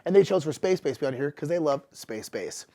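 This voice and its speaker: Male host, 30s, animated explainer, voice somewhat worn from illness, pitched a touch high. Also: quick pace. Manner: chatty and animated, gesturing with hands. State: recovering from illness, voice a bit rough. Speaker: board game channel host. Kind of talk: casual explanatory talk to camera. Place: indoor room in front of game shelves.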